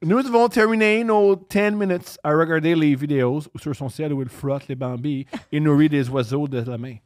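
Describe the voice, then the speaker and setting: Dude on a podcast, kinda high voice, goofy, dry studio sound. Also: male voice, moderate pace, no room sound. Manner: jokey, loud interjections. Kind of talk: casual chat, reading aloud.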